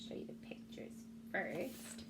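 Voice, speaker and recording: A woman's voice: takes it slowly, whispered. A woman who works as a teacher, reading a picture book aloud to young children and explaining things simply.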